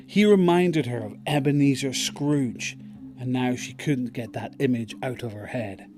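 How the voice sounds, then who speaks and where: A man reads scripted narration for an audio drama. His voice is mid-pitched, with a light bed of music behind it. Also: unhurried.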